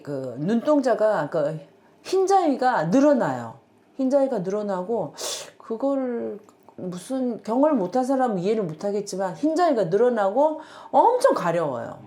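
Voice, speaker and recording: A woman in her sixties, a patient, describing her symptoms in casual interview talk, earnest and halting as she searches for words. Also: mid-range voice, warm tone. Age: 60s.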